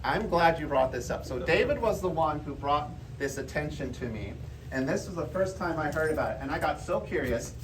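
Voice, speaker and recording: A man's voice: a little high, animated, fairly quick. A man giving an informal public lecture, explaining things in a conversational way to a live audience. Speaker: presenter giving a geology talk. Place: indoor room before a live audience. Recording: roomy, distant mic, faint background noise.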